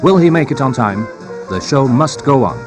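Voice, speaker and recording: A man's voice: medium pitch, expressive, normal speed, over music. A man reading scripted documentary narration about a broadcast.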